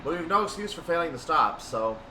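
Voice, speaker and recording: A man's voice: on the high side, sing-song, fast. A man giving play-by-play commentary on a train simulator game, narrating his own actions in announcer-like phrases. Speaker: video game streamer. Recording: distant mic, loud vehicle-like background noise.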